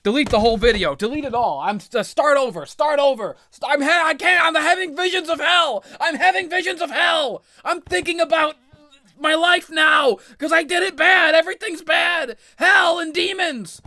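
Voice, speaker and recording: A man's voice: very high-pitched, moderate pace, pushed and bright, no room sound, voice pushed up. A man playing a panicked comedy host having a frantic, repetitive, shrieking meltdown. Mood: hysterical panic. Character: panicked host melting down over hellish visions.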